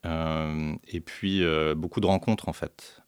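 A man's voice: very deep voice, fairly even intonation, takes it slowly, smooth, no echo, studio quality. A man from central France, a guest on a radio interview, telling his story with many fillers and restarts. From central France, Orléans area. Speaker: guest, beekeeper and deacon.

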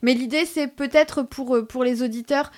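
A woman hosting a morning radio show, chatting in a bubbly, enthusiastic way with quick interjections. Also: high-pitched, fairly even intonation, darkish tone. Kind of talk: upbeat radio chat.

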